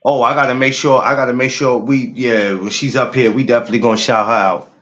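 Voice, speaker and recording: A man's voice: medium pitch, fairly even intonation, fast, fairly bright tone. A man around forty chatting casually on a podcast, with easygoing, reactive banter. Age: 40s. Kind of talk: casual chat. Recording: dull lo-fi mic with faint noise.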